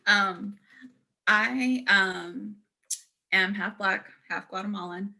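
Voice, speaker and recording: A woman panelist telling her own story in an earnest, halting way with frequent ums. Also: medium pitch, gravelly, pushed and bright, poor audio.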